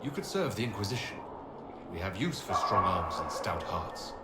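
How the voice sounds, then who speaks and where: An actor playing a commanding, composed hero in a video game, speaking directly and probingly. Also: male voice, deep voice, very animated, normal speed, loud music behind the voice. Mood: firm. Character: commanding, direct male hero leading an organisation. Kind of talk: dramatic video game dialogue. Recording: echoey space, faint background noise.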